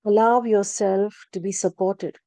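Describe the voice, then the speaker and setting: A woman leading a guided relaxation practice, speaking calmly and gently, with unhurried instructions and long pauses. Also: medium pitch, dry recording. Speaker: yoga nidra guide. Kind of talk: guided meditation narration.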